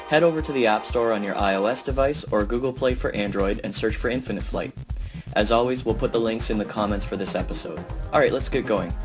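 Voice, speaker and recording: A man hosting a podcast, giving a measured, announcer-like welcome and then asking friendly interview questions. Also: fairly low pitch. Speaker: podcast host. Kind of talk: scripted intro then casual interview.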